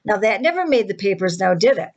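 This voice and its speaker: A woman's voice: mid-range voice, big pitch swings, fast, lo-fi sound. A woman playing an indignant, defensive, chatty woman who vents with sarcasm in a dramatic screenplay monologue. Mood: indignant, sarcastic. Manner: sarcastic, exasperated, conversational venting.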